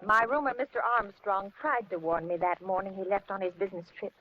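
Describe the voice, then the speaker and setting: A woman's voice: mid-range voice, fairly quick, natural voice. An actress playing a kindly, fussing older landlady in a 1950s radio suspense drama. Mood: reflective narrating. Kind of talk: 1950s radio suspense acting and first-person narration. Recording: dull, noisy 1950s radio recording.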